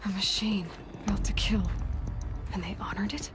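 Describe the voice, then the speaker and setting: An actress playing a young, curious and determined explorer in a video game, musing to herself in a hushed, wondering way. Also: female voice, medium pitch, fairly even intonation, moderate pace, close to an everyday voice. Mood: puzzled. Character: young female explorer, curious, determined, puzzled by ancient ruins. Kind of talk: video game cutscene acting.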